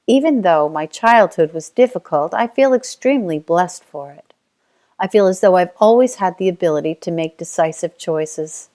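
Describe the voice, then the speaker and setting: Lower-voiced Australian woman reading her story. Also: a little mellow. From Australia (Tasmania). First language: Auslan (sign language). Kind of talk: reading a personal life story.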